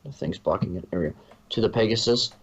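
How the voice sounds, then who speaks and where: Male story reader, lowish pitch, read-aloud narration, dull muffled home recording. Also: fast talker, breathy edge. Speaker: fan fiction reader.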